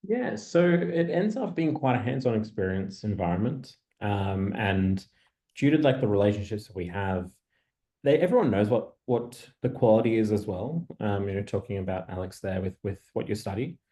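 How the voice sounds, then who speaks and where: A man answering an industry question in an online info session, pausing often and restarting. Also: lowish voice, lively intonation, dark tone. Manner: halting, full of ums and restarts. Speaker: industry partnerships staff member.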